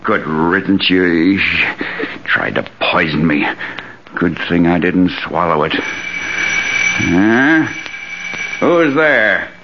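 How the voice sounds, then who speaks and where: A man's voice: sits low, animated, extremely slow, long pauses, full character voice. An actor playing a paranoid, hard man in fear of murder, agitated and stammering in old radio melodrama style. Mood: accusing, angry. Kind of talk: 1940s radio thriller melodrama acting. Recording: muffled, hissy old radio recording.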